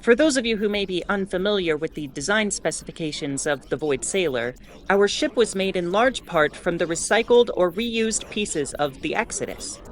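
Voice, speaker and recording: A woman playing a wry, mildly exasperated starship announcer in a scripted audio drama, explaining in a measured way with asides. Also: medium pitch, animated, natural voice. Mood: explanatory. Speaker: host.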